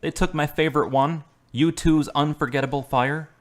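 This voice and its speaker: An actor playing a carpenter recovering from surgery, who talks with dry, sardonic humor. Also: male voice, fairly high pitch, fairly even intonation, moderate pace, natural voice. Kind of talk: amateur audio drama.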